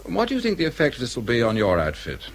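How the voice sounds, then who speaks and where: An actor playing a worldly, cynical civil service schemer in a radio drama, with a British accent and an insinuating, offhand manner. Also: male voice, mid-range voice, huge pitch swings, quick pace. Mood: confiding.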